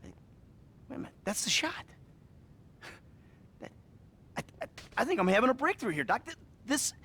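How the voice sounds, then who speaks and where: An actor playing a weary, defensive middle-aged ex-criminal who talks bluntly and swears, in a video game cutscene. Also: male voice, very high voice, sing-song, moderate pace, sharp tone, slight background noise, exaggerated voice. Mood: agitated. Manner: blunt, profane, self-mocking. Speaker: therapy patient.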